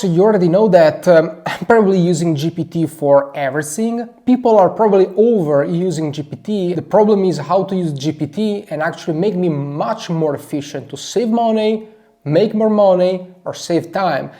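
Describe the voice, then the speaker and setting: A man from Italy with an Italian accent, a non-native English speaker giving an energetic, emphatic screen-share tutorial and leaning on "actually" a lot. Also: high voice. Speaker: marketing advisor.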